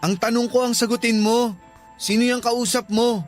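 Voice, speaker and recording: A man's voice: very high-pitched, little pitch movement, normal speed, faint music behind. A man playing a hurt, suspicious boyfriend in a Filipino radio drama, insistent and earnest, with a Filipino accent.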